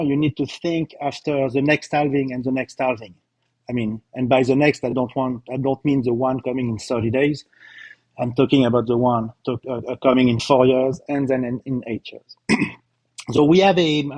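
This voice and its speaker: A man's voice: medium pitch, darkish tone, no echo, low-quality recording. A middle-aged man with a slight French accent, a company co-founder giving a live results presentation, emphatic, with many fillers and repeated words.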